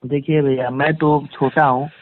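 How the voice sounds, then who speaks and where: A man's voice: on the high side, flat delivery, normal speed, no room sound. A man giving a conversational phone interview as a guest, emphatic and repeating phrases for stress. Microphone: phone line. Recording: tinny, band-limited phone call recording.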